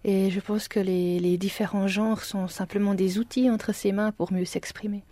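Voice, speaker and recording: A woman's voice: medium pitch, normal speed, no room sound. A woman publisher and librarian explaining matter-of-factly in a radio interview. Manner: matter-of-fact, even explanation.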